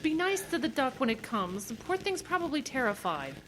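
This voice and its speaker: A woman's voice: high-pitched, very animated, fairly quick, poor audio. A woman playing a tender-hearted, fretful young woman in an audio drama, emotional and fussing, with some background noise.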